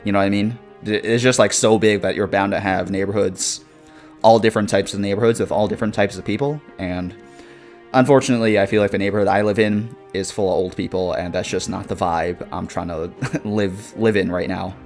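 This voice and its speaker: A man's voice: fairly low pitch, fairly even intonation, brisk, darkish tone, faint music behind. A man in his twenties chatting casually on a live stream, reading viewer comments and rambling with lots of swearing, fillers and laughs. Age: 20s.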